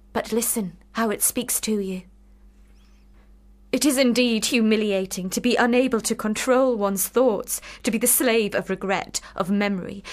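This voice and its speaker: A woman's voice: fairly high pitch, lightly acted. An actress playing a passionate, bitter, lovelorn young woman in a radio play, with intense, literary, theatrical delivery. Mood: wistful, intense.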